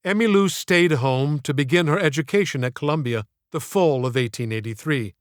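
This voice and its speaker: A man reading his own literary audiobook in a theatrical, measured storytelling manner. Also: a little high, no echo, studio quality. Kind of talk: scripted literary narration.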